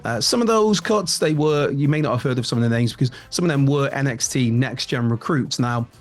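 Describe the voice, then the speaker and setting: A man's voice: medium pitch, a little mellow. A man, presenting a casual wrestling news rundown in a chatty, rambling way. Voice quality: raspy. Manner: chatty, rambling, with frequent restarts and laughs.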